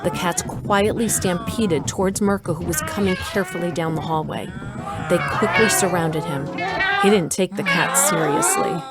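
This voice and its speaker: Female narrator with a lowish voice telling a scripted story over noisy ambient effects. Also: normal speed.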